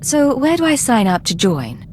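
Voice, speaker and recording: A woman's voice: on the high side, wildly swooping pitch, moderate pace, a little hiss or hum. An actress playing a curt, confident female secret agent in a video game. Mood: brisk. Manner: curt, self-assured. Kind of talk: scripted video game dialogue.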